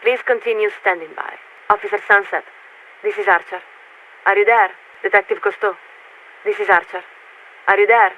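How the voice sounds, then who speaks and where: An actress playing a formal, detached military warship radio officer in a video game, speaking in clipped official procedure through a radio filter. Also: female voice, mid-range voice, fairly even intonation, normal speed, pauses often, sharp tone. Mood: procedural. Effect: radio transmission filter. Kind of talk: scripted video game voice acting. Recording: narrow, tinny radio link with static.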